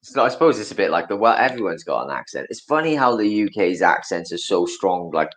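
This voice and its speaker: A man's voice: fairly low pitch, quick pace. A man from Wales, a podcast guest in casual, rambling chat. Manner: chatty, rambling, animated impressions.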